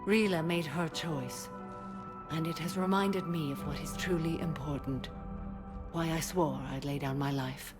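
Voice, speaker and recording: An actress playing a stern, ageless alien warrior-nun and mother in a video game cutscene, speaking in a solemn, measured, formal way. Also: low voice, steady tone, music in the background. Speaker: justicar. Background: orchestral score.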